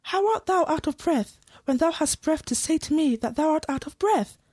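An impatient girl in love acted by a young woman, pitched extremely high with lively swoops. She pleads eagerly in Shakespearean verse style, recorded close and dry. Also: normal speed, dry recording. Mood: impatient, pleading. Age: young adult. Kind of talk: Shakespeare audio play acting.

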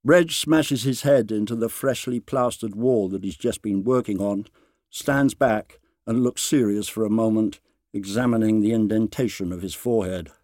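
A man giving a dramatised, deliberate and expressive reading of a literary short story. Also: lowish voice, dry recording, studio quality. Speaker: story reader.

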